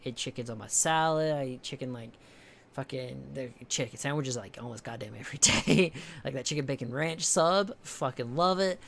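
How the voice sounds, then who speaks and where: A young man from the with a higher-pitched voice, chatting casually with his stream viewers, rambling, swearing a lot and restarting often. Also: normal speed, vocal fry, fairly bright tone, slight background noise. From American South. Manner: rambling, heavy swearing, many restarts and fillers.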